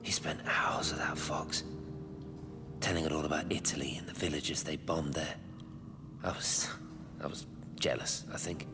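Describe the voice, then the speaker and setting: An actor with an English accent playing a troubled, guilt-ridden scientist in a dramatic game, in a naturalistic, understated way. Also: male voice, a bass voice, steady tone, moderate pace, pauses often, in a whisper. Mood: somber, reflective. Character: troubled British scientist, village-born man, guilt-ridden and defensive. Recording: music laid over the voice.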